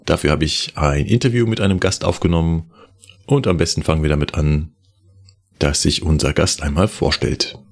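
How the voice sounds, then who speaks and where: A man hosting a podcast, introducing the topic in a measured, explanatory way. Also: rumbling low, vocal fry. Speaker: podcast host.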